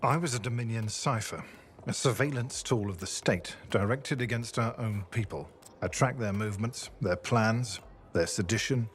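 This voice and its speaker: An actor voicing a video game's haunted defector, a former state assassin with a halting, brooding, confessional delivery. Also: male voice, on the low side, lightly acted. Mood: grim confession. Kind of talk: video game character dialogue.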